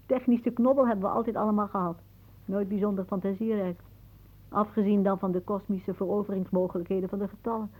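A husky actress playing an older aunt speaks through a narrow, phone-like old radio recording. She is wry and musing, a dramatic woman with death on her mind. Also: mid-range voice, steady tone, fast talker, lightly acted. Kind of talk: radio play acting.

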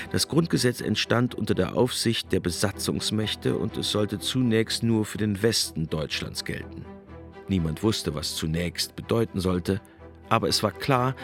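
Low-voiced male documentary narrator. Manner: measured, explanatory. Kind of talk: scripted narration.

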